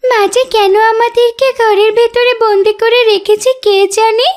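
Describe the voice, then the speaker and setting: A woman's voice: extremely high pitch, fairly even intonation, moderate pace. A woman voicing a curious, headstrong little female duckling in a cartoon fairy tale, with a childlike, pouty manner. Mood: delighted. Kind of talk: animated fairy-tale voice acting.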